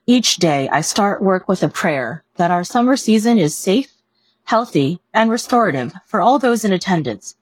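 A woman reading a written report aloud as scripted narration, in a measured, formal manner. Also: medium pitch, no echo, poor audio. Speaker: reader of a written staff report.

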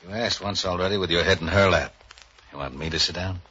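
An actor playing a suspicious, bullying ship's captain in a 1940s radio crime drama, gruff, curt and commanding. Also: male voice, deep voice, quick pace, bright, forceful tone, exaggerated voice. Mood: curt, contemptuous. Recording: dull, noisy old radio recording.

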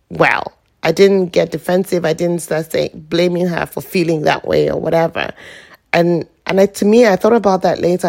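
Middle-aged woman, lowish voice, croaky with heavy vocal fry, reflective, informal podcast monologue. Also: darkish tone. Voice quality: croaky morning voice. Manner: rambling, reflective. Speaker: podcast host.

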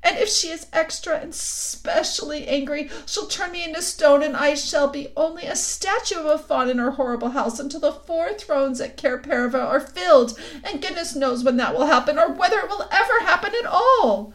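A woman's voice: sits high, lively intonation, moderate pace, pitched above the performer's own voice. A woman reading aloud, voicing a timid, frightened male faun who cries and pleads. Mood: fearful weeping. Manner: weeping, pleading.

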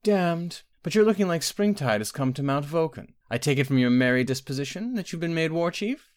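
Storybook queen, played by a man: young, imperious, scornful and biting, pitched high with big swings. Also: expressive, a little fast, close to an everyday voice. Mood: wry, scheming. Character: imperious, sharp-tongued young queen in labor.

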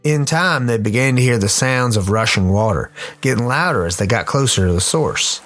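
A man's voice: medium pitch, lively intonation, moderate pace, a bit bright, soft background music. A man narrating a comic radio play as its host, dramatic in delivery with a wry touch.

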